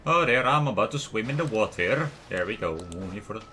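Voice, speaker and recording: A man's voice: lowish voice, expressive, brisk, bright, forceful tone, a little hiss or hum. A man streaming a game, giving casual commentary in short muttered asides to himself. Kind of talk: casual live gameplay commentary.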